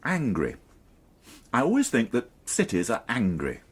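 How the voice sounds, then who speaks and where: An actor playing a gloomy, middle-aged, dry-witted police inspector in a naturalistic radio drama. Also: male voice, mid-range voice, huge pitch swings, a little slow, slightly rough, exaggerated voice. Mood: grumbling, wry. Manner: grumbling, understated, dryly sardonic.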